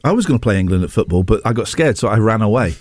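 A playful man hosting a casual chat show, his pitch bouncing up and down as he jokes. He laughs often and keeps the banter going. His voice sounds close and dry. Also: lively intonation, rapid-fire, a little mellow, no room sound. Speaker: host.

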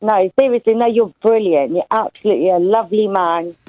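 A woman's voice: mid-range voice, fairly quick, no room sound. A woman in her late thirties rings in to a radio show, talking eagerly and heaping on praise. Her voice comes through a narrow, tinny telephone line. It is dry, with no room sound. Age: 30s. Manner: chatty and effusive. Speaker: caller. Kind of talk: casual phone-in chat.

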